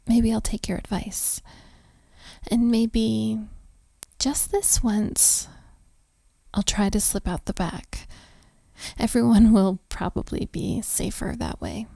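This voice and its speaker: A woman playing a flustered, self-deprecating young hotel maid in an intimate audio roleplay, speaking haltingly with soft, giggly breathiness. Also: fairly high pitch, vocal fry, no room sound, exaggerated voice. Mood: wry, resigned. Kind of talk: intimate audio roleplay, one-sided conversation.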